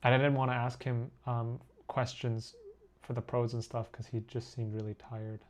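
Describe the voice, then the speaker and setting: A young man born in Korea, an artist chatting casually with viewers while he draws on a live stream, self-deprecating and quick to laugh. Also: mid-range voice, level tone. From born in Korea, raised abroad. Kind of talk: casual live-stream chat reading comments.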